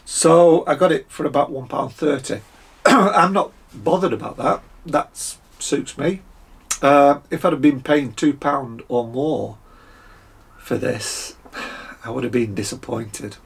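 A Scottish man in his fifties with a croaky voice, chatting casually through a beer review at his desk, with frequent fillers and throat clearing. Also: medium pitch, slow. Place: home workshop, indoors, small room.

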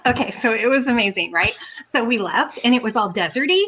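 Excitable, high-voiced woman. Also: a little fast. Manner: excitable, rambling. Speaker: tabletop game player. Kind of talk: breathless in-character storytelling.

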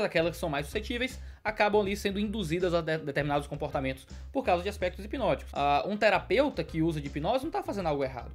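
A man in his twenties, a pastor, answering viewer questions in a quick, explanatory way from a study lined with bookshelves. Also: high-pitched. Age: 20s. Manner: fast, explanatory, gestures with hands.

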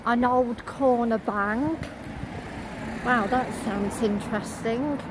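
A woman vlogger narrating a walk outdoors along a shopping street, halting as she lists what she passes. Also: fairly high pitch. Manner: halting, listing shop names as seen. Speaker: travel vlogger. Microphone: handheld camera while walking. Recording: loud street and traffic noise.

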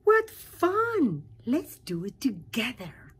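A woman voicing an eager, naive young male pollywog in a children's storybook, excitable and exclaiming. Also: a little high, slow, breathy edge, voice pushed up, exaggerated voice. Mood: very excited.

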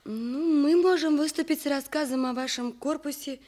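Actress as a high-voiced earnest newspaper woman. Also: moderate pace, darkish tone, lightly acted. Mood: businesslike. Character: earnest female Soviet newspaper editorial worker. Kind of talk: Soviet radio theatre acting.

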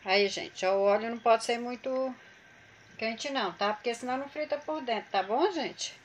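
A woman's voice: on the high side, moderate pace. Woman, Brazilian Portuguese accent, chatty cooking host, home kitchen, phone camera, faint noise behind. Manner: chatty, addresses viewers as gente.

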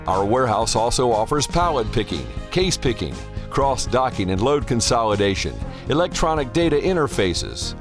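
A man narrates a commercial in a polished announcer voice, his pitch rising and falling lively. Music sits clearly under him. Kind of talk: scripted ad voice-over.

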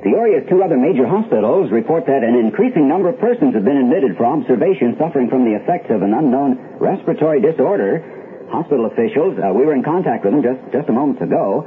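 Actor as halting 1970s radio news anchor, tinny sound. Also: male voice, mid-range voice, very animated, natural voice. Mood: uneasy, controlled. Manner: halting, hesitant, full of uhs. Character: flustered male radio newsroom anchor, urging calm. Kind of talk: 1970s radio newscast drama. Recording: tinny band-limited 1970s radio recording.

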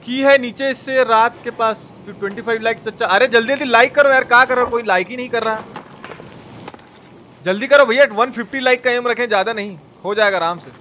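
High-pitched man streaming a game. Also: fairly quick, pushed and bright, dull audio. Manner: informal, foul-mouthed, talking to viewers. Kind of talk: live gameplay commentary with chat shout-outs. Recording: clear background noise and game ambience. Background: video game ambience.

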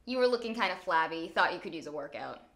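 A woman's voice: lowish voice, expressive, fast talker, a bit bright. A woman voicing a ditzy, cheeky teenage girl in an anime parody, blithe and suggestive. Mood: teasing. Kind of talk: abridged anime parody voice acting.

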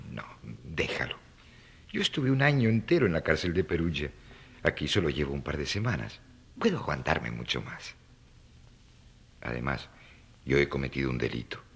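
A man playing a gentle, resigned young son in an audio drama, reassuring, with a gravelly, husky voice. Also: very deep voice, full character voice. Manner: soft-hearted, reassuring.

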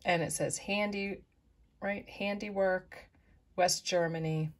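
A middle-aged woman chatting easily through her thrift and flea market finds, with soft asides. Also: fairly low pitch, fairly even intonation, slow pace. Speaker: vlogger showing thrift finds. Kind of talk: casual show-and-tell narration. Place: indoors at a table, close-up of hands.

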